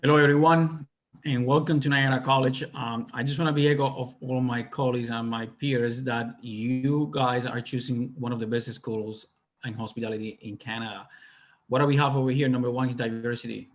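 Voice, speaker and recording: A man from Cuba speaking English with a Cuban Spanish accent, mid-pitched and lively in spirit. He addresses prospective students as a professor, sometimes stumbling over his phrasing but pushing forward warmly. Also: fairly quick. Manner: enthusiastic, slightly broken English. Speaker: hospitality professor. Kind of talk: welcoming speech at online info session.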